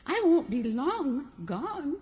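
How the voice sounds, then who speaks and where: High-voiced brazen country spinster played by a woman. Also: very animated, slow pace, mellow, exaggerated voice. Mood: eager. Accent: rural Southern American. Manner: folksy, exclaiming, emphatic. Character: folksy, man-hungry country spinster, cheerfully brazen. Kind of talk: old-time radio comedy acting. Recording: stage performance in reverberant hall.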